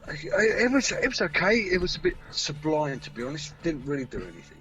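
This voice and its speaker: A man's voice: fairly high pitch, sing-song, quick pace, pushed and bright, soft background music. A man co-hosting a casual radio show, matey and a little hesitant. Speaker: radio co-host. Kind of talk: casual live radio chat.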